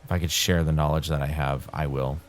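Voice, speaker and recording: A man casually rambling while playing a game, his throat dry and tired, with a calm, soothing way of talking. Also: very deep voice, brisk. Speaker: gaming channel host. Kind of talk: casual rambling commentary over gameplay.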